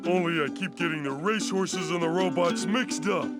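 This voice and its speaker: A man's voice: high voice, moderate pace, close to an everyday voice. A man voicing a dim, good-natured, childish starfish in a cartoon video game, goofy and earnest. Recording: loud music over voice.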